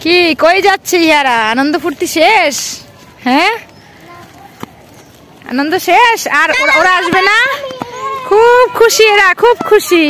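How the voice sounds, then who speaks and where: High-voiced Bangladeshi woman vlogging outdoors. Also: measured pace, long pauses. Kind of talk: casual outdoor vlog commentary with chat to children. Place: outdoors on open grassy ground by a school. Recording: outdoor ambient noise, faint.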